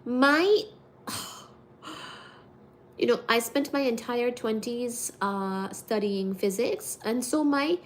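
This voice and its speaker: High-pitched chatty woman from india, livestreaming at home, slow easy pace, giggly. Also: slight background noise. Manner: chatty, giggly, gets excited reading the chat. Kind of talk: casual livestream chat with viewers. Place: home room with cat shelves.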